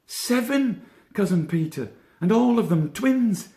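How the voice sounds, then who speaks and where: A man's voice: high-pitched, animated, unhurried, warm tone, reverberant, pitched above the performer's own voice. A man voicing a distraught, panicking father rabbit in a children's storybook, urgent and pleading. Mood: frantic pleading.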